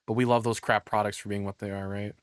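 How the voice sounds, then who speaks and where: A man's voice: on the low side, level tone, fast talker, clean tone, dry recording, studio quality. A man giving casual reaction commentary as a streamer, rambling, opinionated and free with swearing.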